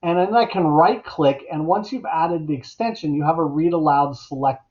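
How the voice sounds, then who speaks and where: A middle-aged man giving a casual, instructional software tutorial as an educator at his computer. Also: a little high, normal speed. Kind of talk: casual instructional screencast narration. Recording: dull, lo-fi computer mic sound.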